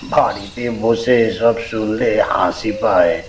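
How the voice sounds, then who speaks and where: A man narrating a scripted first-person horror story in a measured, suspenseful way. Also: lowish voice, fairly even intonation, slight background noise.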